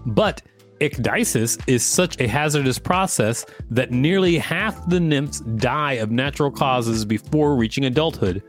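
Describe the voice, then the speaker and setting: Man co-hosting, music behind. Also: medium pitch. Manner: playful, joking. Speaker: podcast co-host reading encyclopedia articles. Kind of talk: read-aloud narration with casual banter.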